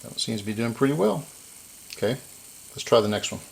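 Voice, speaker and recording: A man's voice: medium pitch, animated, brisk, gravelly, low-quality recording. A man giving a casual hands-on repair narration, explaining and thinking aloud as he works. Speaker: repair video host.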